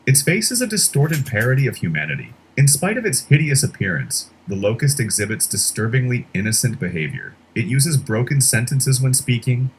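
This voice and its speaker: A man narrating a scripted horror explainer in a measured, dramatic storytelling way. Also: mid-range voice, big pitch swings, slight background noise, rough recording.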